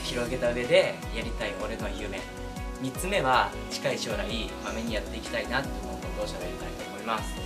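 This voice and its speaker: A man hosting his own channel, talking casually to his followers with drawn-out hesitations and asides that seek agreement. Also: medium pitch. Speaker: online voice-drama creator and channel host. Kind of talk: casual monologue to camera, addressing followers.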